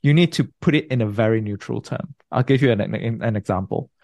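A man in his thirties from Malaysia talks through his answers in a measured, explanatory way, sometimes restarting a phrase. His voice sits on the low side. The recording is dry and close, with no room sound. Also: creaky, a little mellow, no echo. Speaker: guest researcher. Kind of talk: interview answers.

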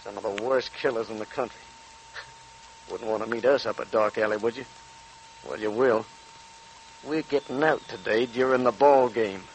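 An actor playing a menacing, boastful convict gangster in a tough, sneering 1940s radio style. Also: male voice, mid-range voice, moderate pace, pauses often, lightly acted. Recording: noisy vintage radio recording with hum.

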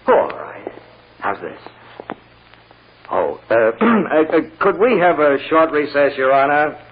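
An actor playing a gentle, shrewd defense lawyer in old-time radio style, easygoing and conversational. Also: male voice, a little high, big pitch swings, takes it slowly, slight background noise. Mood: gentle, reassuring. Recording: dull vintage radio recording.

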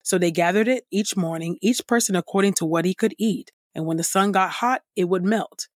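A woman reading the Bible aloud in a measured, scripted way, with clear and deliberate enunciation. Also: medium pitch, no room sound, studio quality. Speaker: scripture reader and podcast host.

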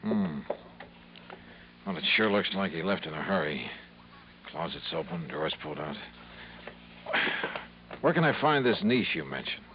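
An actor playing a wry, casual insurance investigator, probing and narrating in a 1950s radio drama. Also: male voice, low voice, very animated, moderate pace, pauses often. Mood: businesslike. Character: wry, brisk male insurance investigator hero. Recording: muffled, noisy 1950s radio recording.

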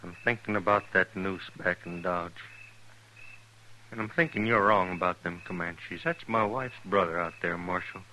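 An actor playing a fatalistic, plain-spoken frontier scout held prisoner in a 1950s radio western. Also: male voice, sits low, moderate pace, pushed and bright. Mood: resigned, calm. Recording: noisy vintage radio drama recording.

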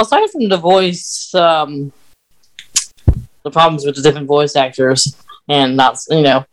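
A man co-hosting a podcast, explaining fan lore casually in a halting way with pauses mid-sentence. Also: a little high, poor audio. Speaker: podcast co-host.

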